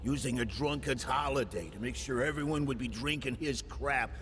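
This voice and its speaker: A man playing a tough, sardonic gangster hero in a video game cutscene, brash, slangy and gravelly. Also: mid-range voice, moderate pace, a bit bright, a little hiss or hum, natural voice. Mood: incredulous. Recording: music behind.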